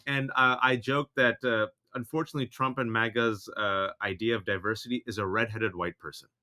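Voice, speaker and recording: A man from the Bay Area, an Urdu speaker first, co-hosting a casual political chat and acting out quotes animatedly. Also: brisk. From San Francisco Bay Area, USA. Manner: animated, acts out quotes with big pitch jumps. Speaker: podcast co-host.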